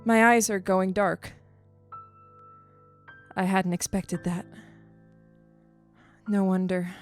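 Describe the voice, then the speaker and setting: A woman's voice: mid-range voice, steady tone, slow pace, soft background music, lightly acted. Woman as a weary, dying space traveler. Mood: fading, reflective. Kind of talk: audio drama acting.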